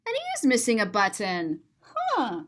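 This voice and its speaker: Slow, expressive woman storyteller, lively high voice. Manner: expressive, explanatory, addressing young children. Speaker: children's storyteller. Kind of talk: read-aloud story with picture descriptions.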